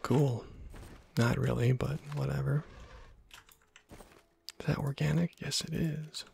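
A man whispering ASMR game commentary close to the mic, breathy and muttering dryly to himself. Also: medium pitch, fairly even intonation, takes it slowly, darkish tone, a little hiss or hum. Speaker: gaming video creator.

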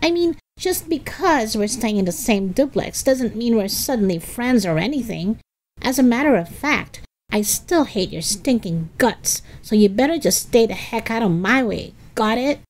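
A woman's voice: fairly high pitch, expressive, slow pace, darkish tone, voice pushed up, poor audio. A woman voicing a bossy, dramatic young girl, brash and scornful, in a storybook reading.

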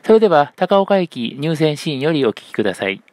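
A man's voice: on the high side, big pitch swings, a little fast, heavy background noise. A man giving a scripted narration for a video. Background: music.

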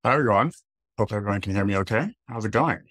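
American male speaker, thirties, lower register, conversational presenter, very dry recording. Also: quick pace, a bit bright, no room sound. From Washington, D.C., United States. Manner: casual, conversational. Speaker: guest speaker, design leader. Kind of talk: informal online presentation. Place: indoors, plain room on a video call.

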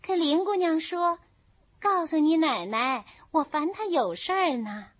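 A woman's voice: very high voice, lively intonation, a little slow, dry recording, pitched above the performer's own voice. A woman voicing a deferential young maidservant in a storybook reading, reporting politely. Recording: dull lo-fi recording.

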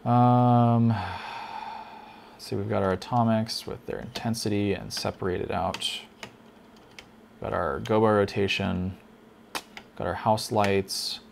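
A man teaching a lighting console in a casual tutorial, thinking aloud with fillers, a little hoarse. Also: on the low side, steady tone, takes it slowly, pauses often, a little hiss or hum. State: slightly hoarse, losing his voice. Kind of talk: casual screen-recorded tutorial.

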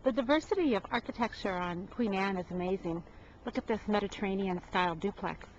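A woman's voice: medium pitch, a little fast. A woman narrating a casual walking tour of houses, admiring and chatty, with frequent ums and uhs. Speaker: real estate agent giving a home tour. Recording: dull lo-fi audio with clear background noise.

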